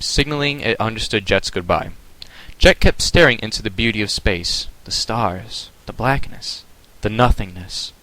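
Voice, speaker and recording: A man reading his own fan story aloud as a soft, hushed dramatic narrator. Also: on the low side, low-quality recording.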